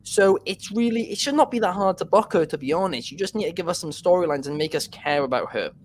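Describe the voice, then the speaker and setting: A man's voice: high-pitched, fast, a little hiss or hum. A man from Wales, hosting a casual podcast and giving his opinions after reading out viewer questions. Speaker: podcast host.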